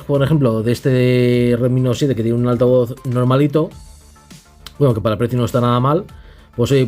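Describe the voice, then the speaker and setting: A man's voice: medium pitch, warm tone. A man with a Castilian accent from Spain giving a casual, unscripted app review, often tagging phrases with little questioning checks. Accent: Castilian Spanish from Spain. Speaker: tech reviewer.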